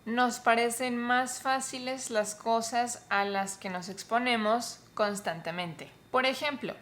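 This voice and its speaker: A Colombian woman with a Colombian accent who runs a Spanish-teaching vlog, explaining clearly and step by step to the camera. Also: fairly high pitch, takes it slowly, fairly bright tone.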